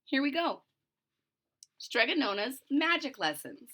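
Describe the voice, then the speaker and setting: A woman reading a children's storybook aloud expressively, with deliberate, emphatic phrasing and simple explanations. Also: sits high, sing-song, sharp tone. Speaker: storybook reader.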